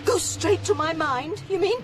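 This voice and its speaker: A woman's voice: very high-pitched, slow, over music. An actress playing an embattled, determined mother with an intense, sardonic manner in a radio drama. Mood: defiant.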